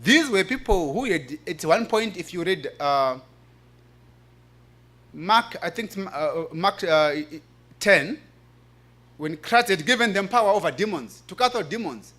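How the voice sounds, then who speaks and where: A man's voice: high-pitched, animated, unhurried, long pauses, fairly bright tone. A man presenting at a religious forum, explaining in a preaching style and repeating phrases for emphasis.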